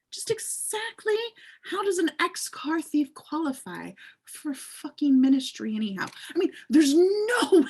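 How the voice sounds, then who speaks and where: A woman reading a furious young woman confronting the ex who left her, with a put-on Southern accent and plenty of swearing. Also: sits high. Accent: American Southern, put on. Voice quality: husky, swearing, lively delivery. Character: furious young woman, jilted ex-car thief. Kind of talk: amateur script read-through.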